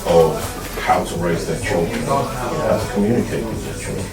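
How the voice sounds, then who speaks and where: Deep-voiced man in an echoey room. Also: expressive, moderate pace. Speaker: discussion participant. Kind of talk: group discussion. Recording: echoey room, distant mic, music behind.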